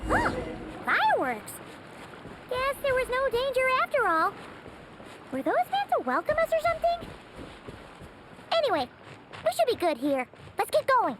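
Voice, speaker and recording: A woman voicing a small, excitable, chatty fairy companion in a video game, with a squeaky childlike voice and an exclamatory manner. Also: moderate pace, long pauses, heavy background noise, full character voice. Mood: excited, curious. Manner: exclamatory, speaks of herself in third person. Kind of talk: animated video game voice acting.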